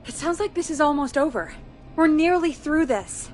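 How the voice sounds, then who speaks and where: A woman's voice: high-pitched, animated, normal speed, a little mellow, faint music behind. A woman playing a friendly, supportive radio show producer in dramatic game voice acting. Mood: relieved, upbeat.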